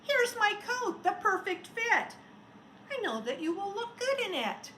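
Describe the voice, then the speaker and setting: Casting: a woman doing excitable, kind children in a storybook, emphatic and animated, heard in an echoey room. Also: very high-pitched, unhurried, pitched above the performer's own voice, exaggerated voice. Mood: kind, pleased. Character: kind, eager, excitable group of young children. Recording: roomy echo, faint background noise.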